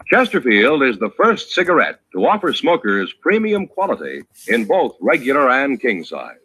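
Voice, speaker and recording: A man working as a 1950s radio announcer and pitchman, with a polished, emphatic sales delivery. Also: pushed and bright, husky, poor audio. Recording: vintage 1950s radio broadcast.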